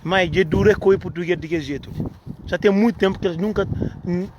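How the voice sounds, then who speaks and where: A man with a high voice talks in a rambling, repetitive, playful way, circling back to the same phrases. He is a vlogger from Cape Verde, speaking outdoors in the cold. The outdoor phone recording carries clear background noise. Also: a little fast, highs cut off.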